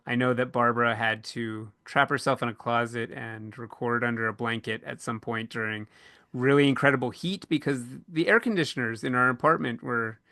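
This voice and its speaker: A man hosting a podcast panel, speaking casually and pausing often mid-thought as he works through his points. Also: mid-range voice, sharp tone, dry recording, clean studio sound. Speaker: podcast host and panel moderator.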